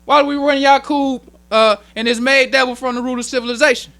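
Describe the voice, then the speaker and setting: An American man delivers a sermon in a very high-pitched, piercing voice. He teaches emphatically in plain colloquial speech, driving points home by repetition. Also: steady tone, brisk, sharp tone, clean tone. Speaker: minister teaching at a temple meeting. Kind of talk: religious sermon, explaining teachings.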